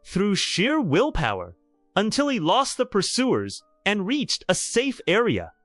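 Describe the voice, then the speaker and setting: A man's voice: high voice, huge pitch swings, measured pace, fairly bright tone, no room sound, studio quality, faint music behind. A man narrating a scripted romantic drama in a theatrical, emphatic storytelling style.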